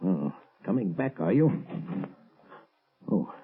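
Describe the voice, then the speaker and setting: A shifty jittery professor on old radio, gravelly voice swinging up and down, stammers. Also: male voice, deep voice, very animated, takes it slowly, soft background music, full character voice. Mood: flustered. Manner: agitated, stammering, evasive. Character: nervous scheming professor, shifty male swindler. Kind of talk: 1930s radio drama acting. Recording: muffled, narrow old radio recording.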